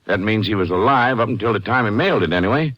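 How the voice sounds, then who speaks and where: An actor playing a practical, plain-spoken country sheriff with a folksy manner in an old-time radio drama. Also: male voice, on the low side, animated, fast talker. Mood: reasoning. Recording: dull, noisy vintage radio recording.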